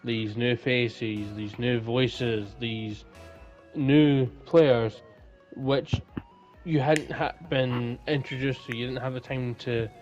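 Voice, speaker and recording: A man's voice: medium pitch, fairly even intonation, slow pace, a little mellow, muffled recording, faint music behind. A man chatting casually as a podcast co-host. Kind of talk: casual chat.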